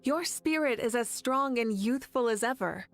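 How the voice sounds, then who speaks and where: A woman's voice: on the high side, animated, normal speed, soft background music. An actress voicing a gracious, respectful female warrior leader in a game cutscene. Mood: warm, respectful.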